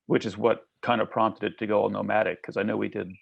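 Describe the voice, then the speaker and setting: A man with a heavily creaky voice looks back casually. His delivery is fairly even, and the sound is a little dull. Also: lowish voice, fast. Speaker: theatre practitioner recalling a production. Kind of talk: casual recollection.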